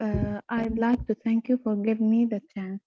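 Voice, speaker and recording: A woman's voice: a little high, level tone, normal speed, warm tone, no echo. A woman in her twenties with a Levantine Arabic accent, practicing English hesitantly and repeating after her tutor. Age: 20s. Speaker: student. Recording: dull, dry call audio.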